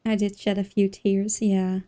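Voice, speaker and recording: A woman livestreamer giving casual, informal commentary on a game, musing out loud. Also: mid-range voice, little pitch movement, unhurried. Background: game music.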